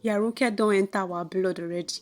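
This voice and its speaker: A woman's voice: medium pitch, fairly even intonation, brisk, a little mellow, faint music behind, natural voice. A woman voicing a friendly, chatty, conciliatory neighbour woman with a Nigerian accent in a folktale. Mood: nervous apology. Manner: chatty, conciliatory Pidgin speaker.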